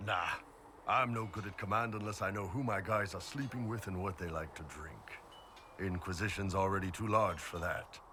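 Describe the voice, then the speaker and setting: A man's voice: deep voice, normal speed, sharp tone, a little hiss or hum. A man playing a huge horned mercenary captain in a video game, blunt, shrewd and easygoing, speaking colloquially. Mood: frank, musing. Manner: laid-back, blunt, colloquial.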